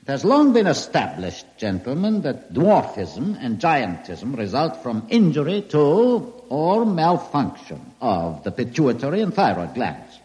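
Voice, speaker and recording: An actor playing a gloating, grandiose mad scientist in an old-time radio drama, speaking with pompous, theatrical formality. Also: male voice, medium pitch, huge pitch swings, measured pace, hardly any pauses, darkish tone, breathy edge, heavily acted, poor audio. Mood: gloating, lecturing. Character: mad male German doctor posing as deranged count, gloating, grandiose.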